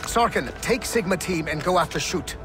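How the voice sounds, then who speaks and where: An actor playing a resolute strike-team leader who gives firm, terse orders. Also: male voice, high-pitched, animated, moderate pace, music in the background. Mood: determined. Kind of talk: scripted game dialogue.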